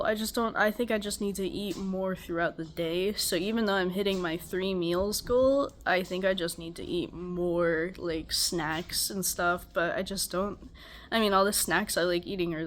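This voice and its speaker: A woman's voice: lowish voice, a bit bright. Tired, stuffy young woman rambling at home. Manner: rambling, weary, self-deprecating. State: stuffy, congested. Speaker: vlogger. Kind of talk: casual personal check-in. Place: home, beside a loud air conditioner.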